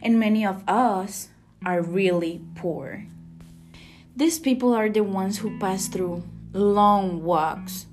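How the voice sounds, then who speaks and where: A woman's voice: mid-range voice, slow, darkish tone. A woman from Rica, earnestly reading a scripted spoken piece. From Costa Rica. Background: guitar music.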